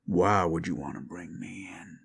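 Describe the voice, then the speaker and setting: Gravelly Southern werewolf outlaw. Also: male voice, low voice, fairly even intonation, heavily acted. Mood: strained, ominous. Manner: strained, slow drawl with heavy breathing. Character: menacing Southern cowboy outlaw turning into a feral werewolf. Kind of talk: dramatic audio roleplay spoken to the listener.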